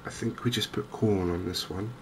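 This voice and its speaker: A man giving softly spoken, cozy gaming commentary in a gentle, unhurried, musing way. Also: deep voice. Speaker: gaming video host. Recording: clear steady ambient background noise.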